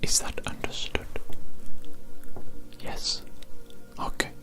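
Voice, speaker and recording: An ASMR creator whispering slowly into a close microphone, running a calm hearing-test roleplay with gentle, repetitive praise. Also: loud music behind the voice. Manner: soft whispering, slow and repetitive, gently encouraging. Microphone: close microphone with headphone-targeted sound.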